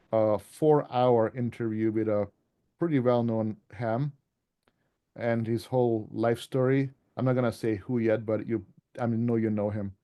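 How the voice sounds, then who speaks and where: Mellow-voiced man giving an informal club talk. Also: mid-range voice. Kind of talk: informal presentation to a radio club.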